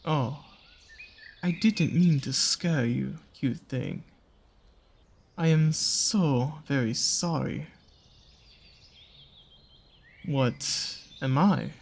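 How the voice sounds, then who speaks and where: A performer voicing a seductive predatory naga with a soft spot for cute creatures, speaking in a teasing, coaxing way in a roleplay audio drama. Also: medium pitch, creaky, slightly rough, heavily acted. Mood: gentle, amused. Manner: slow, teasing, coaxing, with pet names and drawn-out pauses. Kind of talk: scripted roleplay audio drama addressed to the listener.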